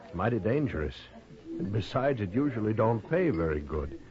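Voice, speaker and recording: Sly, lively western gambler villain. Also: male voice, fairly low pitch, normal speed, crowd noise. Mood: smug, mock-friendly. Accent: American. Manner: folksy, insinuating, mock-friendly drawl. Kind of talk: 1950s radio drama acting. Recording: muffled 1950s radio recording.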